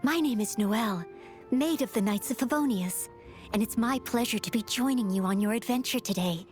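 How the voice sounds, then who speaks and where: A woman's voice: a little high, normal speed, darkish tone, soft background music, natural voice. A woman voicing an earnest, eager teenage maid-knight girl in a video game, courteous, dutiful and sweetly formal. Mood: eager, polite. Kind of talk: video game character voice lines.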